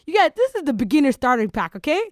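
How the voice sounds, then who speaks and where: A young woman hosting a podcast, talking in an animated, rambling way as she goes through listener resources. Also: high voice, fast talker, no echo, clean studio sound. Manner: animated, warm, rambling host. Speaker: podcast host. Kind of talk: casual podcast chat.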